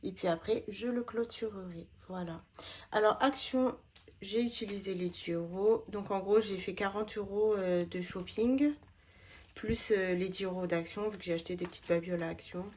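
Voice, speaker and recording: A woman's voice: mid-range voice, moderate pace, darkish tone. A woman, slightly stuffy from a cold, chatting casually while sorting her budget envelopes, with lots of hesitant fillers. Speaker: budgeting vlogger.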